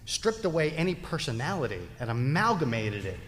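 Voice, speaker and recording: A man on a live panel, talking casually and excitably and jumping in, recorded in a convention hall. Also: fairly high pitch, fairly quick. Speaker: guest panelist. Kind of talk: live podcast panel discussion. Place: large indoor convention panel room with live audience. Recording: echoey convention hall, clear background noise.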